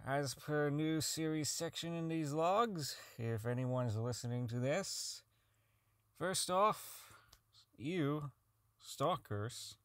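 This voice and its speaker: An actor playing a socially inept, bitter robotics engineer in an audio drama log, rambling, halting, swearing and putting himself down. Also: male voice, fairly high pitch, lively intonation, a bit bright, no echo. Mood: irritated, awkward. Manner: rambling, halting, profane, self-deprecating. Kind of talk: audio drama monologue as a recorded personal log.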